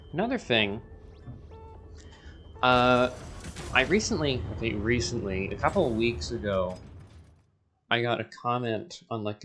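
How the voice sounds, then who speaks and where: A man's voice: mid-range voice, a bit bright. A man with a scratchy voice, rambling through casual gameplay commentary as the host. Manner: rambling, self-interrupting. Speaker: gaming video host.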